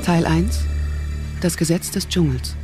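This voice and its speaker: Male prologue speaker, fairly high voice, scripted and dramatic, clear music underneath. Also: a little slow.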